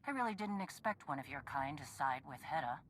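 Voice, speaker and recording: An actress playing a blunt, commanding female bounty hunter in a video game, impatient and vengeful, with a husky voice. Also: sits low, lively intonation, a little fast, fairly bright tone, slight background noise. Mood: cool, determined. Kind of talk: video game cutscene acting.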